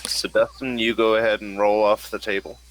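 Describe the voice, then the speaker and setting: A man running an online tabletop game as game master, talking haltingly and muttering as he goes. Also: on the low side, level tone, rough recording.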